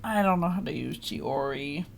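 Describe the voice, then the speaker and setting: A woman's voice: low-pitched, lively intonation, moderate pace, vocal fry, a little hiss or hum. A woman livestreaming a video game, chatting casually with viewers in a playful, exclamatory way and muttering to herself as she plays. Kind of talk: casual gaming chat with viewers, reacting to play.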